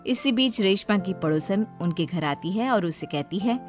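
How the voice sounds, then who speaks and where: A woman's voice: mid-range voice, animated, moderate pace. A woman narrating a cartoon story from a script as the storyteller. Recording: music behind the voice.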